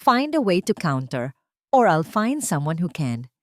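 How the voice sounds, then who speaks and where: A woman's voice: lowish voice, big pitch swings, a little slow, darkish tone, dry recording, clean studio sound. A woman voicing a cold, ruthless male billionaire boss in an audio story, clipped and commanding. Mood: cold, cutting.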